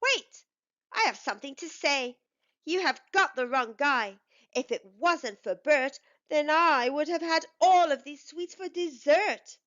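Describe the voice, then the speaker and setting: An earnest, sweet-toothed beaver from a picture book, as a woman acts him out. His voice is high and very animated, with emphatic phrasing. The recording is slightly dull. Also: measured pace.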